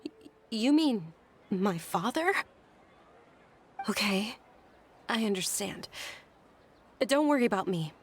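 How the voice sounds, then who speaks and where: A soft-spoken young noblewoman. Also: medium pitch, animated, slow, long pauses, slight background noise. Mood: earnest. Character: gentle, polite young noblewoman, daughter of a merchant. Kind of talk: video game voice acting.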